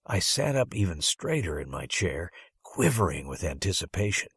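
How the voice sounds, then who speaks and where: A man's voice: sits low, big pitch swings, normal speed, a bit bright, husky, dry recording, studio quality. A man reading a first-person story as an audiobook narrator, with dramatic phrasing and strong emphasis.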